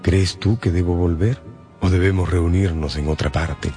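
A man's voice: sits low, steady tone, moderate pace, dark tone, faint music behind, close to an everyday voice. An actor playing a cowardly, self-serving male seducer in a radio drama. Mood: wooing, sly. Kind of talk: Spanish-language radio drama acting.

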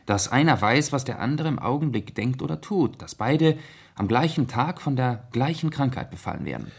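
An actor playing a gentleman detective in a 1970s radio play, reasoning calmly in with measured, deliberate pauses. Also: medium pitch, vocal fry, husky. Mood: pondering, explanatory.

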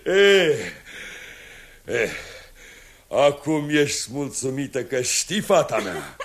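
A man's voice: mid-range voice, sing-song, natural voice. An actor playing a father who tells his daughter a grim tale in a storytelling, teasing way, in a 1960s radio drama.

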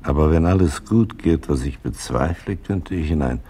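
Very deep, gravelly weary writer. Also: male voice, lively intonation, moderate pace, dark tone, full character voice. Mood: evasive, apologetic. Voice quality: gravelly, husky. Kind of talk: radio drama acting.

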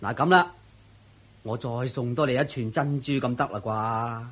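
A fed-up henpecked merchant in hong kong cantonese, bit raspy, pleading and sighing, radio play acting. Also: male voice, mid-range voice, moderate pace, fairly bright tone. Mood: incredulous. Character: exasperated, henpecked merchant man, placating and incredulous. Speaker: radio drama actor. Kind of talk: theatrical radio drama acting.

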